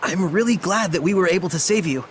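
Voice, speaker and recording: A man's voice: high-pitched, fast, a little hiss or hum, lightly acted. A man voicing an earnest, kindly young shepherd hero in a video game, polite and youthful. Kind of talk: English game voice acting.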